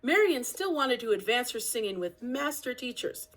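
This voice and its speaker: A woman's voice: fairly high pitch, sing-song, normal speed. A woman reading a children's picture-book biography aloud as storytelling narration, with an occasional small stumble.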